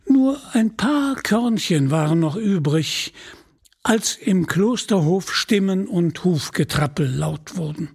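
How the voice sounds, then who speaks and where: A man's voice: high-pitched, animated, slow, gravelly, darkish tone, husky. A man narrating a scripted story as a dramatic storyteller.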